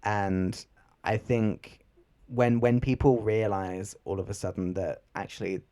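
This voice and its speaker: A man's voice: lowish voice, steady tone, a little slow, dark tone. A young man co-hosting a podcast, talking in a rambling, laid-back way with lots of fillers. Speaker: podcast co-host. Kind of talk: casual chat.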